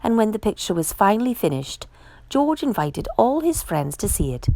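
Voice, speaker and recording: A woman reading a children's picture book aloud with measured, emphatic storyteller phrasing. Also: medium pitch, sing-song, gravelly, mellow, no room sound, rough recording. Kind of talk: expressive children's read-aloud narration.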